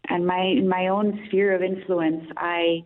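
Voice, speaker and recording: A woman's voice: on the low side, little pitch movement, measured pace. A woman from Austria, a radio interview guest calling in, speaking in a reflective, earnest way with many restarts. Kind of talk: radio interview, thoughtful opinion. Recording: tinny phone line.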